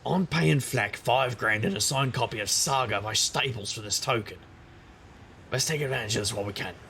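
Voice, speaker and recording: An actor playing a wry, sardonic supernatural private investigator who narrates in conversational noir style. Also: male voice, medium pitch, moderate pace, bright, forceful tone, slight background noise. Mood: wry, reflective. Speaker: narrator and lead character. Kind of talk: noir first-person scripted narration.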